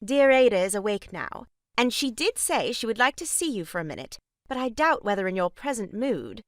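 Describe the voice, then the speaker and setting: Bossy society matron, gushing and brisk, highish swooping voice for comic audio drama. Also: female voice, very animated, no echo, clean studio sound. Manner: brisk, gushing, self-important. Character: bossy, gushing married sister-in-law, sharp-tongued matron. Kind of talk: stage farce audio drama acting.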